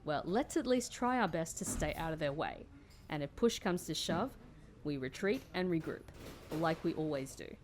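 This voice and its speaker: Woman playing a low-voiced, no-nonsense female agent. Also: lively intonation, normal speed, soft background music. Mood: firm, dry. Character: tough, no-nonsense female agent, loyal team leader. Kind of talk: audio drama dialogue and narration.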